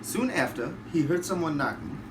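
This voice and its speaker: A man, a father, reading a rhyming bedtime storybook aloud in an animated way. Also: on the high side, normal speed. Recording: roomy, distant, noisy home recording.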